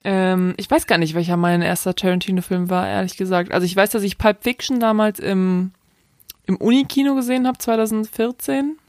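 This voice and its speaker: A woman's voice: medium pitch, steady tone, a little fast, darkish tone, no room sound, studio quality. A young adult woman co-hosting a casual film chat, enthusiastic and slangy, laughing often. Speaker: podcast co-host.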